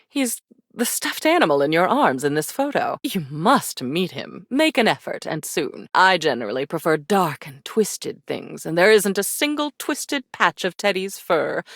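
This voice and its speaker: A woman's voice: lowish voice, dry recording, studio quality. A woman playing an arch, darkly elegant embodiment of memories in a video game, drawling and teasing with endearments. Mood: fond, proud. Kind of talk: video game character acting.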